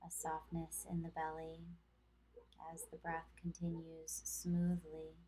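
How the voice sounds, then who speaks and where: A woman instructor leading a guided meditation in a calm, measured, soothing manner with long pauses. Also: low-pitched, slight background noise, low-quality recording. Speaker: yoga instructor.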